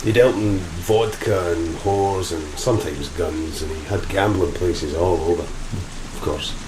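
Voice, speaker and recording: Slow, deep, brooding sailor. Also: male voice, crowd noise. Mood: brooding, sardonic. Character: grim, menacing male sailor, gangster's son from Moscow. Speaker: actor in an audio drama. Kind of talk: gothic horror audio play acting. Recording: steady rain and thunder, roomy echo.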